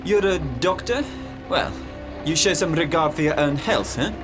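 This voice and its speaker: An actor playing a menacing, condescending armed bully in an audio drama, giving curt orders. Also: male voice, on the high side, normal speed, lightly acted. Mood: threatening. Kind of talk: full-cast audio drama acting. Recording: music under the voice.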